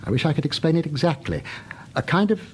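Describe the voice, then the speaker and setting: A man's voice: on the high side, sing-song, fast talker. A haunted British doctor in a radio drama, earnest, hesitant and overwrought. His intonation swings widely, and there is a light hiss on the recording. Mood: uneasy, confiding. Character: troubled, haunted English male doctor, earnest and insistent.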